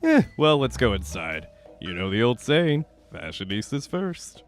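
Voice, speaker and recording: A man's voice: mid-range voice, big pitch swings, a little slow, soft background music. A man voicing a cocky, sarcastic blind teenage boy in a fan audio drama.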